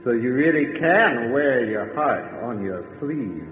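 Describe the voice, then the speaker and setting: A man's voice: medium pitch, lively intonation, takes it slowly, heavy background noise. A man playing a ghoulish, gleefully macabre radio horror host, with a theatrical, punning delivery. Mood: macabre glee. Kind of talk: old-time radio horror introduction. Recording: dull radio sound with long reverb.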